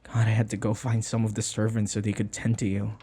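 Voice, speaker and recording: Soft, breathy, devoted male servant played by a man. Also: fairly low pitch, completely flat delivery. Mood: relieved, anxious. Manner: soft, halting, tender, pleading, dropping to whispers. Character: devoted young male human servant of a vampire mistress. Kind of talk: intimate audio roleplay acting.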